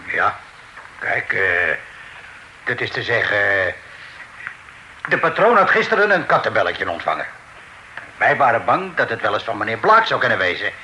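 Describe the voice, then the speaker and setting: An actor playing a ship's captain who answers in a hesitant, halting way. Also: male voice, on the low side, sing-song, bright, forceful tone, slight background noise, pitched below the performer's own voice, heavily acted, poor audio. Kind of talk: period radio drama acting.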